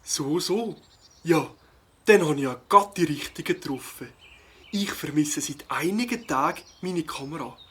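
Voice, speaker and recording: A mild, fussy bird-lover played by a man, with a husky, breathy voice. He speaks deliberately in a Swiss German accent, absorbed in his hobby, as a character in a children's audio play. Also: fairly high pitch, lively intonation. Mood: puzzled.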